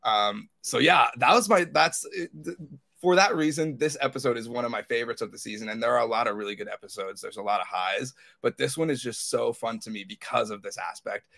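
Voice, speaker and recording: A man's voice: mid-range voice, a little fast, vocal fry, pushed and bright. A man co-hosting a podcast, talking casually and enthusiastically as a fan, in a rambling way. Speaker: podcast co-host. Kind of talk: casual fan discussion of TV episodes.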